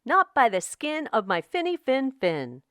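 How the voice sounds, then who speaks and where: A small, spirited fish from a picture book , put on by a woman. The voice is childlike and sing-song, lifting high with very lively melody. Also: a little slow, no echo, studio quality, heavily acted. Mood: defiant. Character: plucky little fish siblings in a storybook.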